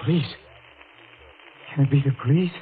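An actor playing a guilty, nervous killer in a melodramatic, halting 1940s radio thriller style. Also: male voice, fairly high pitch, steady tone, natural voice. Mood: nervous, evasive. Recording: noisy, narrow-band old radio recording.

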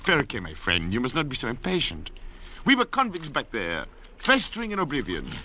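A dull, faintly hissy vintage radio recording carries a suave convict leader. His voice is bright and forceful, swinging widely in pitch as he holds forth with grand, condescending eloquence. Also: wildly swooping pitch, fairly quick. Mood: assured, lofty. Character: suave, grandiloquent French convict doctor, cool scheming leader. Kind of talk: 1940s-50s radio drama acting.

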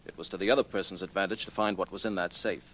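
An actor playing a restless, defensive heir under suspicion, emphatic and protesting, in 1940s radio style. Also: male voice, low voice, fairly even intonation, rapid-fire, fairly bright tone. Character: restless, defensive wealthy heir, a male suspect. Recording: noisy old 1940s radio recording.

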